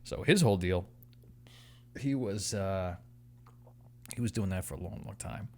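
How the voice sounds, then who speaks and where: A man's voice: deep voice, measured pace, dark tone, slight background noise. A man chatting casually as a podcast guest, telling stories and laughing often. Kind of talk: casual chat. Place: podcast studio.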